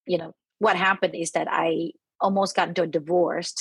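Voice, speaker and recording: A woman's voice: fairly low pitch, flat delivery, moderate pace, creaky, slightly rough, no room sound. A woman from Malaysia with a Malaysian accent, telling personal stories casually as a podcast guest, often checking in with "right?". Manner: frequent "you know" and "right?" tags.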